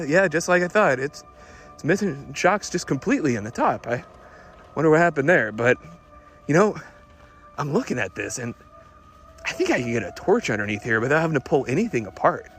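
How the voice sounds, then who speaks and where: A man acts out an obsessive car fanatic in a fairly high male voice, with music playing underneath. He rambles through an audio diary, stammering and restarting with breathless eagerness. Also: a bit bright. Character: excitable, obsessive man smitten with his old car.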